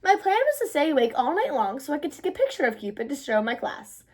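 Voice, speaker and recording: A woman from the United States reading a children's storybook aloud in an expressive style. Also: high voice, quick pace. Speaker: read-aloud host.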